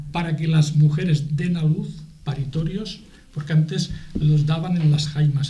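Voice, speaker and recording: A middle-aged man with an accent from Spain, explaining things in a TV debate in a halting way, repeating and rephrasing as he goes. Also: a little high, warm tone. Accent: Spanish from Spain. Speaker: guest, aid association spokesman. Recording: echoey room.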